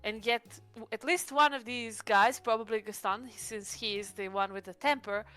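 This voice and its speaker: Inquisitive woman with a clear, higher voice. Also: moderate pace, a bit bright. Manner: tentative, inquisitive. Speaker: player in tabletop roleplaying game. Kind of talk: casual in-game discussion and questions.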